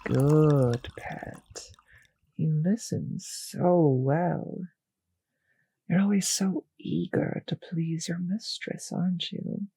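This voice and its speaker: A woman playing a possessive, teasing vampire mistress in an intimate roleplay audio drama, speaking slowly and condescendingly with a breathy voice that often sinks to a whisper. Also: low-pitched, steady tone, mellow, exaggerated voice. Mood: possessive, indulgent.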